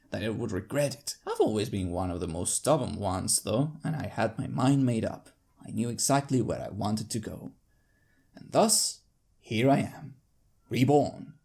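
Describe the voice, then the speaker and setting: A storyteller reading first-person fiction aloud. The voice is husky and creaky at a middle pitch, and it moves freely up and down as each phrase is placed with deliberate drama. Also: darkish tone. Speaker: fanfiction narrator. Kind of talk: scripted first-person audiobook narration in character.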